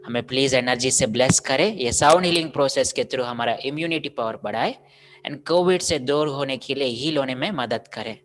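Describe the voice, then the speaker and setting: A meditation guide with an Indian accent, giving instructions in short phrases with pauses, in the style of a guided relaxation. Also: medium pitch, a little hiss or hum. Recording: meditation music and singing bowls behind. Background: music, singing bowls, tuning fork chimes.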